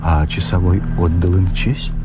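A man's voice: sits low, fairly even intonation, unhurried, over loud music. An actor in a Soviet radio drama playing a naive, courteous idealist, speaking politely and hesitantly and repeating his words. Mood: mild, courteous. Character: earnest, naive, courteous idealist visiting from abroad.

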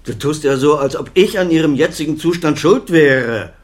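A man's voice: fairly high pitch, lively intonation, moderate pace, lightly acted. A man acting in a naturalistic radio drama as a middle-aged doctor and father, defensive, self-justifying and pleading. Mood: indignant.

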